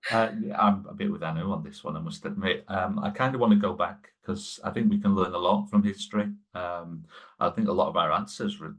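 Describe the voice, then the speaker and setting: A man's voice: low-pitched, a little fast, a bit bright. A man speaking as a podcast guest in a loose, rambling way with many fillers and restarts. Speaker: guest, sustainability lead. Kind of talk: conversational podcast interview.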